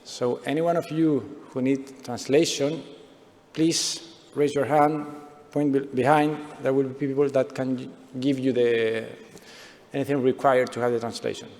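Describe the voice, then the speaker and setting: A man introducing a panel at an event, announcing with some halting phrases. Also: mid-range voice, steady tone, darkish tone. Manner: announcing, switching into halting Spanish. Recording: long reverb of a conference hall.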